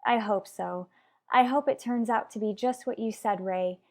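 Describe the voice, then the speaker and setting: A woman's voice: fairly high pitch, moderate pace, a little mellow, natural voice. A woman playing an anxious mother who fears for her young son in a radio soap opera. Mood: anxious, subdued.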